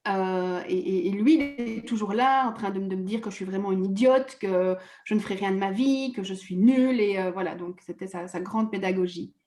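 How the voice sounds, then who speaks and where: A woman's voice: mid-range voice, dark tone, roomy sound, faint music behind. A woman speaking hesitantly in a therapy session, with frequent halts and self-conscious laughter. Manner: hesitant, halting, laughs at herself. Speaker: therapy client. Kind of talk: personal conversation.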